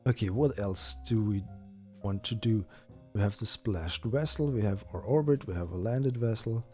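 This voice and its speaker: A man's voice: fairly low pitch, normal speed, a little mellow, soft background music. A man whose first language is German, chatting through a live game stream and thinking aloud with many fillers and restarts. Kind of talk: live-stream gameplay chat.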